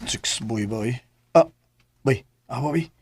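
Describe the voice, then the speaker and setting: A man's voice: mid-range voice, unhurried, gravelly, darkish tone, slight background noise. An actor playing a smitten, playful young ladies' man in a Bisaya radio drama, with a Filipino accent. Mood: bantering.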